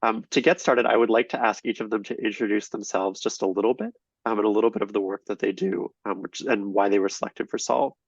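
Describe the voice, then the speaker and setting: A man hosts an online panel, asking his guests questions with frequent hesitant ums. His voice is lowish and gravelly. The audio sounds dull and dry, like a video call. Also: steady tone, fast, no echo. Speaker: panel moderator.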